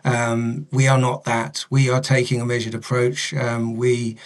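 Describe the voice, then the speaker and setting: Male company director: mid-range voice, flat intonation, explanatory answers in halting, broken phrases. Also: mid-range voice. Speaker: company director being interviewed. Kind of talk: business interview, explanatory.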